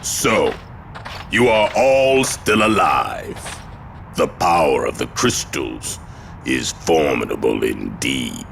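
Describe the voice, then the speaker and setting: An actor playing a sinister, pompous villain who worships the void in a video game, with a deep gravelly voice and grandiose delivery. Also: male voice, animated, slow, a bit bright, a little hiss or hum, heavily acted. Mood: smug menace. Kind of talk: theatrical video game voice acting.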